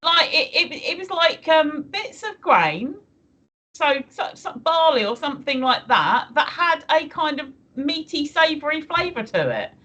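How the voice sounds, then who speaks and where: A woman's voice: high-pitched, big pitch swings, measured pace, bright, forceful tone. A woman co-hosting a casual chat show, giggly and hesitant, repeating words as she thinks. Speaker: co-host. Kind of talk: casual banter.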